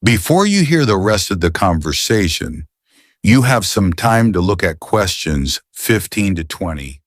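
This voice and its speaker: A male exam announcer with a fairly low voice reads formal test instructions. His intonation moves widely, and the recording is close and dry. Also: sing-song, unhurried, dry recording. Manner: formal, clearly paced instructions.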